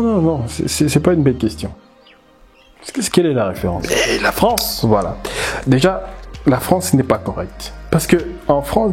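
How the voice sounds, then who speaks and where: A man from the DR Congo, a language teacher, giving animated, emphatic commentary and repeating words as he thinks aloud. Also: medium pitch. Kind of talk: casual commentary video.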